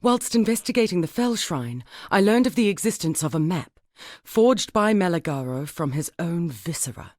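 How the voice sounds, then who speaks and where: An actress playing a grieving, learned woman survivor in a dark fantasy video game, speaking in a measured, formal, wistful way. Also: fairly low pitch, lively intonation, no echo, clean studio sound. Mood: grave, foreboding. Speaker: non-player character in a video game. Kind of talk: dramatic scripted game dialogue.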